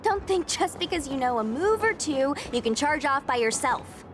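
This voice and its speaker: A woman's voice: sits high, animated, moderate pace, music in the background. A woman voicing a perky, teasing, bossy young adventurer in a video game. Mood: playful, confident.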